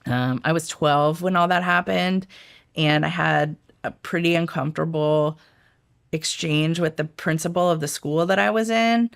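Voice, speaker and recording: Woman, middle-aged, gravelly voice, halting speech, former analyst being interviewed. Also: low voice, fairly even intonation. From United States, raised in Washington DC area. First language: Italian. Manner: halting, many restarts and fillers. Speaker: guest, former intelligence analyst. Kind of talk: casual interview talk.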